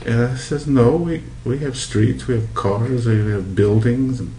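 Middle-aged male interviewee from Hawaii, relaxed dark tone, casually telling memories, roomy sound with faint noise. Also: medium pitch, a little slow. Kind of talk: casual oral history interview, reminiscing. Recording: echoey room with background noise.

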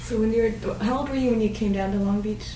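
Woman, interviewer, conversational questions, roomy sound, clear background noise. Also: fairly high pitch, fast talker, mellow, recorded from a distance. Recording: echoey, noisy room.

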